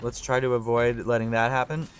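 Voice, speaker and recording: A slightly hoarse young man giving live commentary on a strategy game, talking through his thinking and getting excited on big plays. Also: medium pitch, a little fast, faint music behind. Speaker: gaming channel host.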